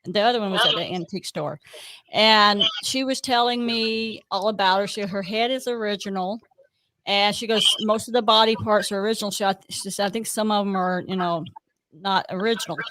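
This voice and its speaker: A woman's voice: medium pitch, a bit bright, a little hiss or hum, low-quality recording. A woman hosting a paranormal investigation, telling a story in an eager, rambling way, often breaking off her own sentences. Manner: rambling, self-interrupting, eager. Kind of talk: casual conversation.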